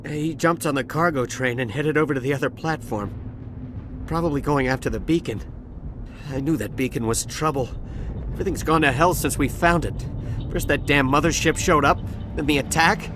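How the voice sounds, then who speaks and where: An actor playing a jittery, cowardly dock worker who stammers and gets defensive, with game music behind him. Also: male voice, on the high side, moderate pace, noisy background, close to an everyday voice. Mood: agitated. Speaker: survivor witness. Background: game score music.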